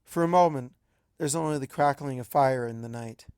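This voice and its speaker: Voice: mid-range voice, normal speed, darkish tone, clean tone, no echo, clean studio sound. A narrator reading a first-person story aloud in a narrative storytelling style. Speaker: fan fiction narrator.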